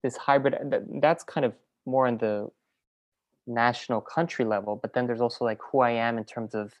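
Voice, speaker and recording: A woman's voice: rumbling low, steady tone, normal speed, muffled recording. Rambling woman filmmaker, full of fillers. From French Venezuelan, raised in New York. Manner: rambling, many fillers and restarts. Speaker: filmmaker and film teacher. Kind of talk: casual interview conversation.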